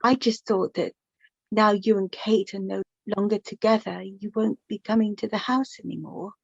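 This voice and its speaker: An actress playing a flustered, kindly middle-aged mother in a radio play, hesitant and gently chiding. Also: medium pitch, steady tone, normal speed, vocal fry, slightly rough, no echo, muffled recording. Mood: warm, wistful.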